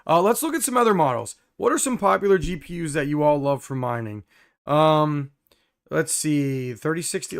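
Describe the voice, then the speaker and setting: A hoarse young man hosting a casual live stream, reading viewer comments with many fillers and throat clearing. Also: on the high side, fairly bright tone.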